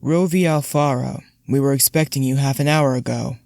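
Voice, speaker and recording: A man's voice: mid-range voice, moderate pace, gravelly, darkish tone, dry recording, clean studio sound, natural voice. A man playing a hostile, officious prison guard in a sci-fi audio drama, curt and stern. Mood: stern, impatient.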